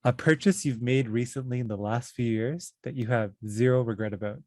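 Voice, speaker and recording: A woman hosting a podcast, asking relaxed quick-fire questions in casual chat. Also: very deep voice, no room sound, studio quality. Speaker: podcast host.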